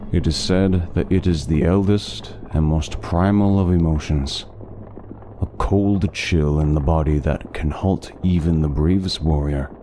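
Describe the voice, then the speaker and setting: A man playing a courteous, learned, melancholy traveling scholar in a fantasy audio drama, speaking in measured, formal phrases with music behind him. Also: rumbling low, steady tone, dark tone, slight background noise. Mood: grave. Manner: measured, formal, articulate storytelling.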